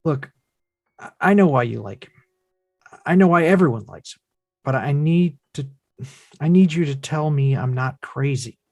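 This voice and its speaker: Male actor as exasperated adult son in a family drama, earnest and insistent, slightly high register. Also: moderate pace, pauses often, darkish tone, no room sound. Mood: pleading. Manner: earnest, pressing, emphatic. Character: frustrated, earnest grown son confronting his father. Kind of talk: table read of a film script.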